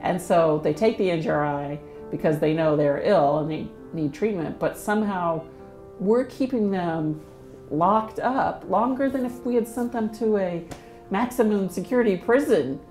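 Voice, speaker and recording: A woman's voice: on the low side, moderate pace, darkish tone, reverberant, soft background music. A woman talking conversationally in a documentary interview.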